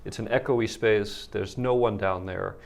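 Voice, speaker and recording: A man from the Netherlands with a Dutch accent, a guest explaining his work in measured answers. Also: fairly low pitch, steady tone, creaky. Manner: measured, explanatory, some repeated words. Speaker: guest, organization head. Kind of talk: interview answers.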